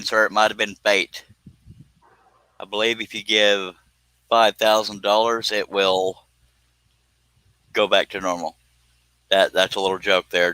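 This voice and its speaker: A man's voice: fairly low pitch, sharp tone, smooth, dry recording, poor audio. A man in his fifties hosting a casual live stream, chatting in a folksy, joking, teasing way. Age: 50s.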